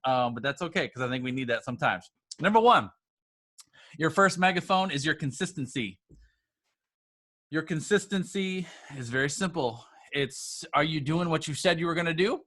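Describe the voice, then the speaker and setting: A man's voice: a little high, lively intonation, normal speed, a bit bright, dry recording, studio quality. A man speaking as a business coach and livestream host, talking informally and directly to his followers in an emphatic, motivational way, often repeating phrases for emphasis. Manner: informal, addresses listeners directly.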